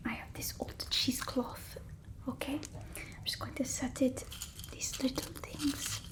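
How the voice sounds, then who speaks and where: A woman playing a bossy, fussy old-world vampire mistress in an ASMR roleplay, whispering slowly and breathily. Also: high voice, little pitch movement. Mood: fussing, coaxing. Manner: slow, hushed, haughty, fussing over the listener.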